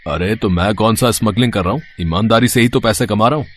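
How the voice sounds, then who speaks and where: A man's voice: lowish voice, quick pace, natural voice. A man voicing a shameless, sly street fritter seller and husband in a cartoon moral story, gloating and dismissive. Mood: smug. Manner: gloating, dismissive of his nagging wife.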